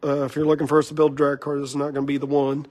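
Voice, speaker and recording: A hoarse man with a fairly high voice chats his way through a car build in a workshop. He rambles with plenty of fillers and gets excited about the parts. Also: rapid-fire. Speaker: shop owner showing a car build. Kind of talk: casual shop walkaround talk.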